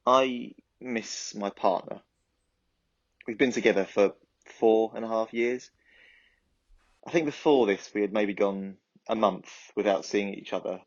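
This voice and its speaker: A slightly dull recording carries a low-voiced father played by a male actor. He is weary and missing his family. He speaks slowly and haltingly, with sighs, as if confiding in a diary. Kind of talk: monologue as a spoken diary entry.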